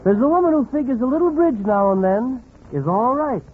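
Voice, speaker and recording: An American actor playing a wry, friendly comic narrator who addresses the listener directly in 1940s radio comedy style. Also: male voice, extremely high pitch, expressive, a little fast. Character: wry friendly observer of married life. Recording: thin, muffled, noisy 1940s radio.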